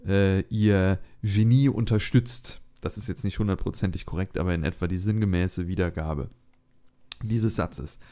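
A man's voice: fairly low pitch, a little mellow. A man hosting a let's play, chatting casually and thinking aloud with many fillers. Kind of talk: casual gameplay commentary.

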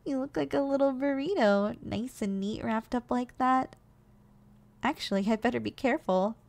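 Woman as a gentle, higher-voiced giantess secretary. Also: moderate pace, gravelly. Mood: doting, playful. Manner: soft, coaxing, nurturing, teasingly affectionate. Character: gentle, doting giantess secretary caring for her shrunken boss. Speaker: ASMR role-play performer. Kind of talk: ASMR role-play.

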